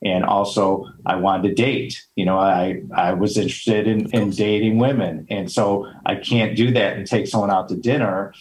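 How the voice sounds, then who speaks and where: A man's voice: on the low side, steady tone, moderate pace, hardly any pauses, a little hiss or hum, poor audio. A middle-aged man with a Chicago accent, speaking earnestly as a podcast guest, with frequent fillers and restarts. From Chicago, United States. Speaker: podcast guest, real estate investor. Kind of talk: casual interview talk.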